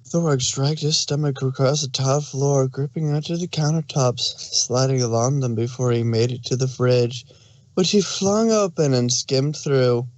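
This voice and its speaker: A man's voice: medium pitch, a little slow, a little mellow, dry recording. A man narrating a story aloud as a scripted fan fiction reading.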